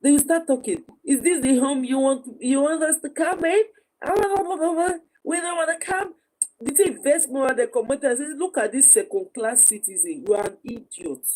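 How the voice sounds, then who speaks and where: A woman's voice: high voice, fairly quick, a little mellow, low-quality recording. A Nigerian woman speaking emphatically and repetitively in a heated informal discussion, slipping into Pidgin.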